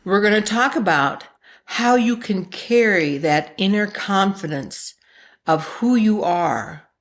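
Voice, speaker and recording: A woman's voice: medium pitch, lively intonation, slow. A woman hosting a podcast in a conversational interview style, speaking with an effusive, emphatic and affectionate manner. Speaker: podcast host.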